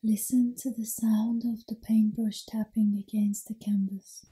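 Woman doing asmr meditation, super breathy n mellow, mostly whispery, real soothing. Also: medium pitch, level tone, a little slow, low-quality recording. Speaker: guided meditation host.

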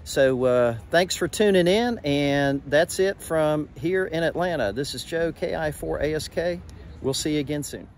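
Middle-aged American ham operator vlogging from his car boot, voice sitting a bit high, light noise behind. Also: moderate pace. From USA. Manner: friendly, radio-style call signs. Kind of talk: radio contact with casual narration. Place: outdoors at the open back of a car. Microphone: phone camera at arm's length.

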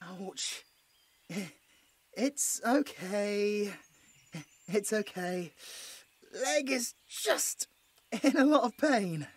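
A man's voice: sits high, animated, drawn-out words, pauses often, breathy edge, exaggerated voice. A British man playing a frightened, sarcastic, injured monster hunter in an audio roleplay, stammering as he placates his captor. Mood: pained. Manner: stammering, pleading, with muttered sarcastic asides.

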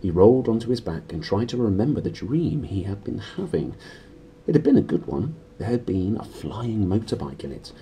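A British man reads a storybook briskly and expressively. His voice is lowish and relaxed, with very lively swings in pitch. There is faint noise from the room behind him. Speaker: reader. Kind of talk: brisk storybook reading to camera. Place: small decorated room indoors.

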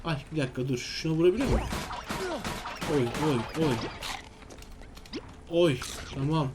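A man's voice: a little high, a little slow. A man giving casual, exclamatory let's-play commentary, talking to himself and his viewers. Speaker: gaming video host.

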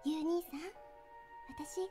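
A woman's voice: sits high, level tone, takes it slowly, mellow, soft background music. A woman voicing a devoted, possessive teenage girl in an anime drama, polite but intense and menacing. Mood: sweetly insistent. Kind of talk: anime audio drama acting.

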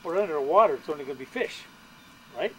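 A man's voice: a little high, lively intonation, fast talker, pushed and bright. A man chatting casually and in a rambling way outdoors on a camping trip. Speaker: camper on a family trip. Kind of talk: casual chat. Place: outdoor campsite by a lake. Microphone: camcorder microphone. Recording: noisy camcorder audio outdoors.

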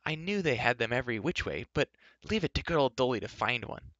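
A man voicing an outspoken, bossy young girl in a fantasy storybook, talkative and quick to scold. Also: medium pitch, lively intonation, a bit bright, no echo, lo-fi sound, close to an everyday voice. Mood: matter-of-fact.

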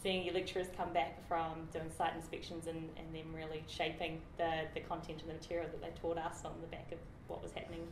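A woman's voice: low-pitched, a little fast, hardly any pauses. A young woman from New Zealand with a New Zealand accent, chatting casually as an engineer being interviewed, with frequent fillers and restarts. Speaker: project engineer guest. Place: office meeting room with large windows. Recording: roomy office with steady background noise.

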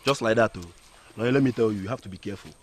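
A man voicing a blunt young male friend with a Nigerian accent, speaking in a lowered gruff voice. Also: very fast, no room sound, natural voice. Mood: lecturing.

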